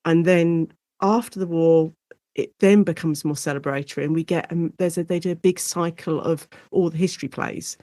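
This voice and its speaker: A British woman speaking as an expert guest in a conversational interview, explaining history in an informal, animated storytelling way. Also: low-pitched, normal speed, no room sound, clean studio sound. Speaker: guest expert, lecturer and author on Shakespeare in radio.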